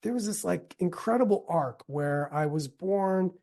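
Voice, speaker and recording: A middle-aged American man giving a reflective talk as a teacher, earnest and deliberate, repeating phrases for emphasis. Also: high-pitched, warm tone. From United States. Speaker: yoga teacher and speaker. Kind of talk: reflective spoken talk with personal storytelling.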